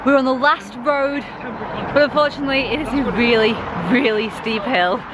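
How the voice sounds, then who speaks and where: A woman's voice: high-pitched, normal speed, background noise. Chatty english woman vlogging. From England. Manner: chatty, upbeat, laughs often. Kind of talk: casual vlog chat to camera. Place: walking outdoors in a city, later at home.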